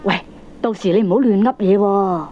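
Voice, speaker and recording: A woman's voice: medium pitch, a little fast, dark tone, a little hiss or hum, close to an everyday voice. A woman playing an anxious, sceptical middle-aged wife with a questioning manner in a Hong Kong Cantonese comic radio drama. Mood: anxious, scolding. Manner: questioning, exclamatory. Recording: dull lo-fi cassette recording.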